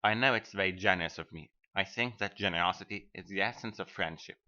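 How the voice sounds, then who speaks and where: A pompous, self-satisfied rich man from a storybook , acted by a man. The voice is low and forceful, every point pressed home with selfish certainty. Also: quick pace, muffled recording. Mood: condescending. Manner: pompous, emphatic. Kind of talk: acted reading of a fairy tale.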